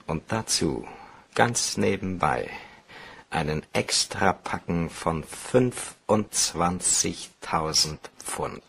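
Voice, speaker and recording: A determined, bitter cashier in a crime radio play. His voice is low and very husky, with a gravelly edge. He talks briskly and likes to command. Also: expressive, fairly bright tone, heavily acted. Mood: businesslike, urgent. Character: embittered, determined bank cashier plotting a theft.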